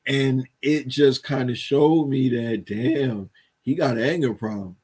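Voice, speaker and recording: A man's voice: medium pitch, unhurried, darkish tone, husky. A man in his thirties co-hosting a commentary show, chatting off the cuff in slangy phrases over a headset on a video call from home. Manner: slangy, often adds "you feel me" and "man". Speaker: co-host of a news commentary show. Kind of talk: casual unscripted chat.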